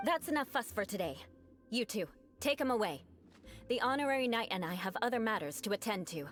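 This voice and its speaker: An actress playing a composed, defiant young female knight in a video game, speaking with dry, cutting calm. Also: fairly high pitch, a little fast, lightly acted. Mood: cool. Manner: cool, composed, dryly cutting. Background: game music.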